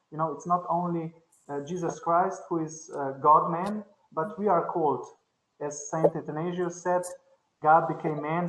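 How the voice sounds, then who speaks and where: A man's voice: fairly high pitch, fairly bright tone. Hesitant Slovenian-speaking man, echoey room. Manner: halting, hesitant, many restarts. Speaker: conference panelist. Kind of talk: academic discussion. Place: conference session.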